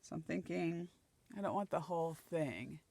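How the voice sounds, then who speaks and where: A middle-aged woman from Nova Scotia chats as she crafts, thinking aloud as she places each piece. Her voice is slightly croaky and dry. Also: sits low, moderate pace, warm tone, no room sound. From Nova Scotia, Canada. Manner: chatty, thinking aloud while gluing collage pieces. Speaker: craft channel host. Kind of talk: casual crafting chat.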